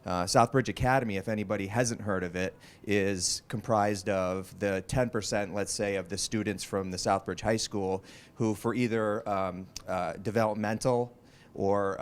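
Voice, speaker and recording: Halting man speaking at a meeting. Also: fairly low pitch. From Vermont. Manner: halting, many fillers and restarts. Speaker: school program speaker. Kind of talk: public talk at a meeting. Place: community meeting room. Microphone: handheld mic.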